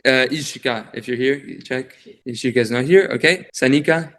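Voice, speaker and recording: A man's voice: mid-range voice, moderate pace, a bit bright. A man hosting a video call, chatting casually and often repeating his praise with plenty of fillers.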